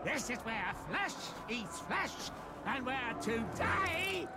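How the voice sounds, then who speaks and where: An actor voicing a bloodthirsty, theatrical monstrous circus ringmaster in a video game. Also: male voice, extremely high pitch, very animated, takes it slowly, heavily acted. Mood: gleeful menace. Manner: theatrical showman proclamation. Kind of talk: video game villain acting. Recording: loud music under the voice.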